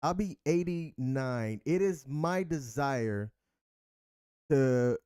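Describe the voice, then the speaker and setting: Easygoing man in his thirties, smooth mellow voice. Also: medium pitch, lively intonation, takes it slowly, no room sound, clean studio sound. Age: 30s. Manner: easygoing, laughs at himself. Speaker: co-host. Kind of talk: casual couple chat.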